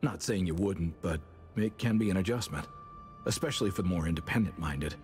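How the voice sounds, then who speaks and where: Actor as a low-voiced, dryly skeptical man. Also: a little fast, darkish tone, lightly acted. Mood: matter-of-fact. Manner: dry, matter-of-fact, wry. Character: plain-spoken, dryly skeptical male farm manager. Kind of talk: naturalistic dramatic game acting. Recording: long reverb, music under the voice.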